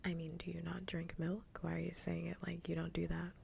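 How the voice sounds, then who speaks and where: Soft whispering woman, low and gravelly. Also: completely flat delivery, a little fast, a little hiss or hum. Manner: playful teasing, repeats phrases. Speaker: livestream host. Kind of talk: casual ASMR livestream chat. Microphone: close ASMR microphone.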